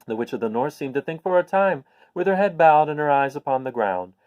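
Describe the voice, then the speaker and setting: Animated male storyteller, dry sound. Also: fairly high pitch, fairly quick, no room sound, muffled recording. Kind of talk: expressive read-aloud storybook narration.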